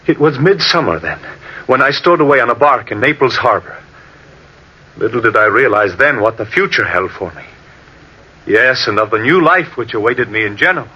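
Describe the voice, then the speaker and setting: Intense young painter, sharp and husky. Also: male voice, medium pitch, heavily acted. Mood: brooding. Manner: earnest, theatrical, impassioned. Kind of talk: old-time radio drama acting. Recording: dull vintage AM radio recording.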